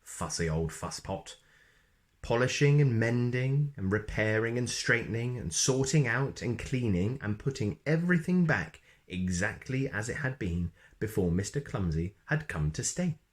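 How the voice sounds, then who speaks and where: A man reading a children's story expressively, with playful emphasis and dramatic pauses. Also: mid-range voice, expressive. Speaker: storybook reader.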